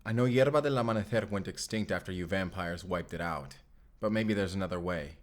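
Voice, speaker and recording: A man playing a brooding, hot-tempered Spanish vampire slayer in an audio drama, gruff and curt. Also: low-pitched, a little fast, close to an everyday voice. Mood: grim, skeptical. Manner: gruff, curt, easily angered. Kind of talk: cinematic audio roleplay acting.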